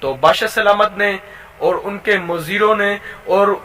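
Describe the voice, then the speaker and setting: A man with a Pakistani accent telling a moral tale informally, as a channel host, haltingly and with repeated phrases. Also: high voice, pushed and bright, slight background noise, low-quality recording. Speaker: YouTube storyteller and channel host.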